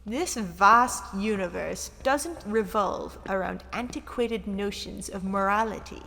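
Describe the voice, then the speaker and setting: Long hall reverb carries a cool, condescending female guide acted by a woman. She speaks slowly at a medium pitch, formal and haughty, in the manner of sci-fi audio drama. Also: vocal fry, pushed and bright, full character voice.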